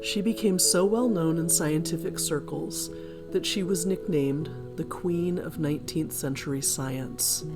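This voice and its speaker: A woman presenting a scripted narration in a measured, explanatory manner. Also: sits low. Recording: guitar music behind the voice. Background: plucked guitar music.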